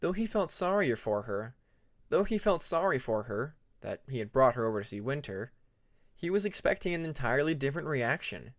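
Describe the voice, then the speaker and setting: A man reading a fantasy story aloud as scripted narration, in a measured storybook manner. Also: mid-range voice, very animated. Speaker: fan fiction audiobook narrator.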